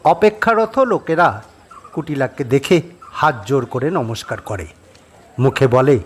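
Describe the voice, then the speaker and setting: A man's voice: medium pitch, lively intonation, a little slow. A man narrating a scripted suspense story as a dramatic audio storyteller. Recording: clearly noisy background.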